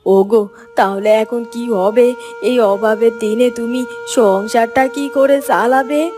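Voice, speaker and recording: Village wife, cartoon fairy tale female performer: high-pitched, expressive, caring, anxious and coaxing. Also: takes it slowly, over music. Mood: worried.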